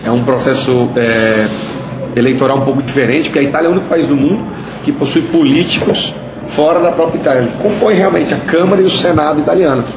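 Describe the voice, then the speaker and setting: Brief: fast-talking Capixaba man being interviewed, echoey spot with noisy ambience behind. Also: on the high side. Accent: Capixaba Brazilian Portuguese. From Espírito Santo, Brazil. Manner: explanatory, frequent "né?" tags. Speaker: interviewee, political candidate. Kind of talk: casual interview answers.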